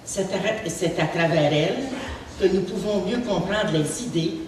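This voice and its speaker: A woman's voice: lowish voice, measured pace. A woman delivers a formal prepared speech. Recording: very echoey room, distant mic, faint noise.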